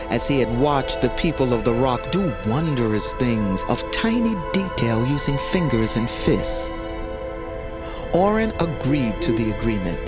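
Solemn old-legend storyteller, really slow but swooping delivery, fantasy audio drama. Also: male voice, medium pitch. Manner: measured, solemn, formal storyteller phrasing. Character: solemn male lore keeper recounting an ancient fantasy legend. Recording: studio narration under loud music.

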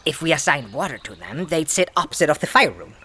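Guarded, reluctant adventurer, fantasy audio drama. Low, bright and tense, curt delivery, faint noise. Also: female voice, very animated, full character voice. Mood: hesitant, guarded. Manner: curt, halting, defensive.

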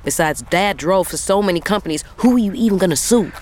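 An actress playing a stressed, sharp-tongued, weary female long-haul trucker in a naturalistic audio drama. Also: fairly low pitch, lively intonation, fast, crowd noise, natural voice. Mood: exasperated. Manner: blunt, exasperated.

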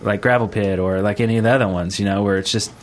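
A man's voice: fairly low pitch, fairly even intonation, fast talker. A man hosting a casual gaming podcast, explaining things in an informal, rambling way. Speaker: podcast host.

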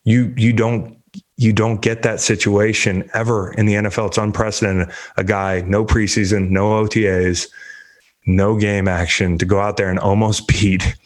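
Low-voiced man in profane sports chatter. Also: level tone, normal speed. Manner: profane, rambling, joking. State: congested. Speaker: sports show host. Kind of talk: casual sports talk.

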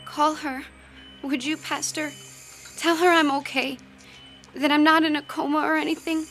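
A woman's voice: sits high, little pitch movement, normal speed, a bit bright, soft background music. An actress playing a dazed, anxious teenage girl who speaks falteringly in an audio drama. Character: dazed, grieving teenage party girl in hospital.

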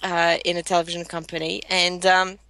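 Bright-voiced Greek-raised woman telling her story. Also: fairly low pitch, flat delivery, brisk, gravelly, no room sound, rough recording. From Greece. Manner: chatty, many restarts and laughs. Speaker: guest, social media marketer. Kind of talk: casual interview storytelling.

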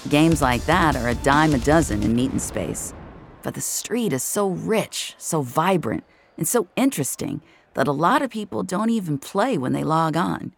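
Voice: medium pitch, expressive, moderate pace, creaky, soft background music. An actor playing an introspective young regular of a virtual-reality world, narrating an audio drama in an intimate, confiding way. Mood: reflective. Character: introspective young VR social-space regular named Jamie. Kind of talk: first-person scripted audio drama narration.

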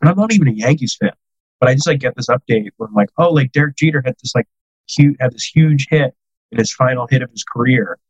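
A man's voice: medium pitch, fast talker, husky, dull audio. A man in his thirties from the United States, a podcast guest telling self-deprecating stories in casual chat, full of "like" fillers.

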